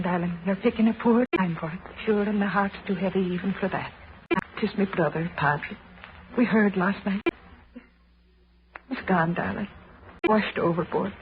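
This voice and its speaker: An actress playing an anxious, sorrowful village neighbour with an Irish accent in old-time radio drama style. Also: female voice, mid-range voice, close to an everyday voice. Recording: old radio recording, muffled, faint hiss.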